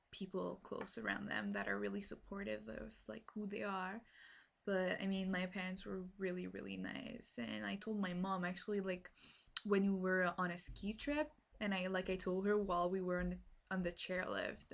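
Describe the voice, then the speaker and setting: A woman's voice: medium pitch, vocal fry. A young woman from French Canada with a French Canadian accent, French her first language, telling a personal story to camera in a rambling way, full of fillers and restarts, moving about as she talks. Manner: moves around while talking. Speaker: vlogger.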